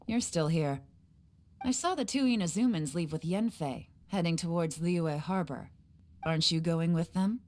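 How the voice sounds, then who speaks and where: A woman's voice: on the low side, a little slow, darkish tone, slight background noise, natural voice. A woman voicing a composed, teasing female spy with a wry, slightly menacing manner in a game cutscene. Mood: sly. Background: game music.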